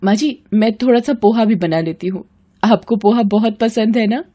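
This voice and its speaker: A woman's voice: on the high side, moderate pace, mellow, voice pushed up, lightly acted. A woman voicing a devoted, affectionate young daughter-in-law in a moral story, eager and doting. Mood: joyful, doting.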